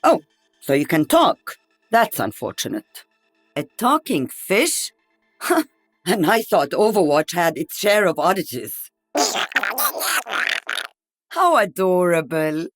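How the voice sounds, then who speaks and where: Game role: older woman sniper veteran, dry teasing wit, deep female register, animated intonation. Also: slow, pauses often, faint music behind, exaggerated voice. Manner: wry, teasing.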